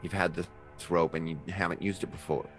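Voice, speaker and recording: A man's voice: sits low, level tone, fairly quick, soft background music. A man improvising in character as a player in a tabletop roleplaying game.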